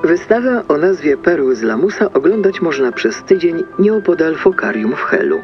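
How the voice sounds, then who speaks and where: A brisk newsreader in a children's audiobook, muffled by a radio filter. His polished announcer voice sits fairly high for a man, over faint music. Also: very animated. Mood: brisk, formal. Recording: dull radio sound, music behind.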